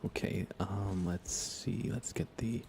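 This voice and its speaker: Man, soft-spoken ASMR streamer, fry-heavy voice, casual unhurried chat, half-whispered at times, noisy recording with clicks. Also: sits low, steady tone. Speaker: live streamer.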